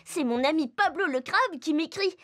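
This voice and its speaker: A woman's voice: high-pitched, animated, normal speed, fairly bright tone. A woman voicing a cheerful, curious young girl explorer in a children's cartoon, bubbly and speaking straight to young viewers. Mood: excited, inviting. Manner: bubbly, exclaiming, addresses young viewers directly. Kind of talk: children's cartoon dialogue and narration.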